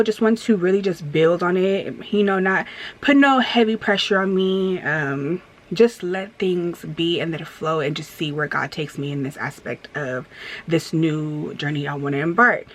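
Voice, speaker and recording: A woman in her thirties chatting candidly in a storytime vlog, rambling with many fillers and restarts and turning emphatic as she vents. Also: fairly low pitch. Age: 30s. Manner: emphatic when venting. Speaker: vlogger. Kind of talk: casual storytime chat while getting ready.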